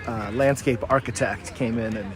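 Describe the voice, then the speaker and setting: A man's voice: mid-range voice, steady tone, moderate pace. A man in casual conversation as a spectator at a soccer game. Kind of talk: casual chat. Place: outdoors beside a sports field. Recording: outdoor field with crowd and traffic noise. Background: crowd and urban outdoor sounds.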